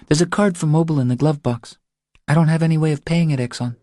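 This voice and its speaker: A man's voice: fairly high pitch, fast talker, warm tone, no room sound, studio quality, pitched above the performer's own voice, close to an everyday voice. A man voicing an anxious, pleading young mother who is short of money, in audiobook dialogue. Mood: weary, insistent. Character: anxious, cash-strapped young mother confiding her troubles.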